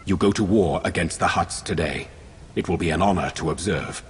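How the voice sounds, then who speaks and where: An actor playing a reverent, solemn warrior aide in a video game, speaking formally and gravely. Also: male voice, deep voice, a little fast, lightly acted. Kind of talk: video game cutscene acting. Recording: music behind.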